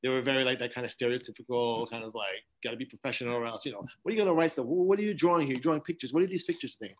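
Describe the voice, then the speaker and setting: A man's voice: medium pitch, very fast, low-quality recording. A man hosting a library podcast, asking curious, rambling questions in a casual interview. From Haitian family background.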